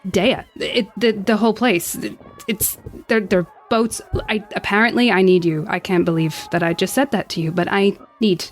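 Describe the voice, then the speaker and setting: A woman's voice: mid-range voice, fairly even intonation, normal speed, soft background music, lightly acted. A woman playing an anxious, exasperated guard in a tabletop game, flustered, stammering and repeating words. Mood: urgent, exasperated.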